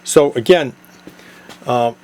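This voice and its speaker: A man doing a casual hands-on product demo, explaining it step by step in a halting, improvised way. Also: medium pitch. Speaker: product demonstrator and seller. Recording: clear background noise, radio chatter. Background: CB radio chatter and noise.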